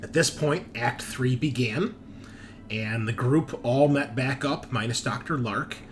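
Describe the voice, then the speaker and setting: A man's voice: mid-range voice, measured pace, slight background noise. A man hosting a tabletop roleplaying show as game master, talking casually with profane, bantering humor. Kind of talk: casual chat and session recap.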